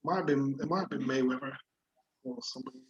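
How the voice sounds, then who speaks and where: A man's voice: mid-range voice, fast. A man with a Bristol accent hosting a podcast, asking rambling questions with many restarts. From England. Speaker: podcast host. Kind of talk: casual interview chat. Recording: dull lo-fi podcast audio with faint noise.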